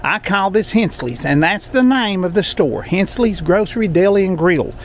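A man with a drawl chats to viewers as he drives through the mountains. His voice sits a touch high, and his manner is folksy and easygoing. A faint hum of car noise runs underneath. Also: lively intonation, moderate pace. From Appalachian Kentucky. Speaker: travel vlogger. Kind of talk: casual travel vlog talk. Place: in a car and a country store.

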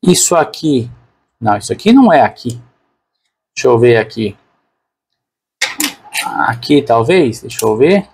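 A man's voice: medium pitch, lively intonation, measured pace, vocal fry, long pauses, noisy background. A man with a Brazilian Portuguese accent narrating a product unboxing casually and unscripted, informally thinking aloud as a reviewer.

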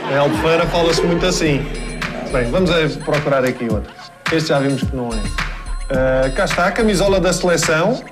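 A man's voice: fairly high pitch, brisk, over loud music. A man in his thirties from the Alentejo with a European Portuguese accent, giving a playful, mock-serious humorous report to camera. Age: 30s. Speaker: comedy field reporter. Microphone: handheld reporter microphone in most scenes.

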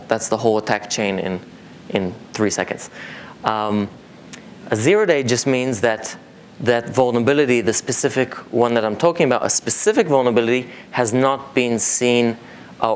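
A man in his thirties from Israel giving an informal technical conference presentation, explaining in a halting way. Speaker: conference speaker, cybersecurity company co-founder. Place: indoor conference breakout room with seated audience. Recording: clear low rumbling room noise.

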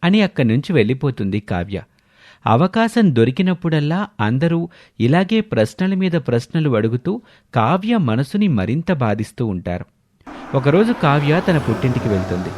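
A man's voice: medium pitch, darkish tone, dry recording, studio quality. A man narrating a scripted story in the manner of a storyteller.

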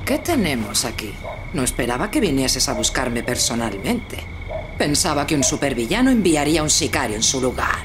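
A woman's voice: a bass voice, very animated, moderate pace, background noise. A woman with a Castilian Spanish accent voicing a sadistic, mocking, easily bored young villain fighter in an anime game dub, in a taunting drawl. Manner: taunting, drawling, punctuated by chuckles and hums. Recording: music behind the voice. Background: music.

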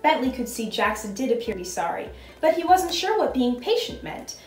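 A young woman hosts a children's storytime, narrating and quizzing little listeners. Her voice is high and very animated. It is recorded in an echoey room. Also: fairly quick, faint music behind. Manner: expressive, instructive, addressing small children. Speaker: costumed storytime host reading as a princess.

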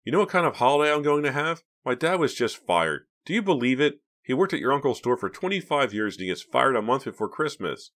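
A man voicing an excitable, breathless teenage boy in a young adult audiobook. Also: high-pitched, a little slow, hardly any pauses, voice pushed up, full character voice. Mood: defiant. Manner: breathless, exclaiming. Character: excitable teenage boy, jittery and easily thrilled sidekick.

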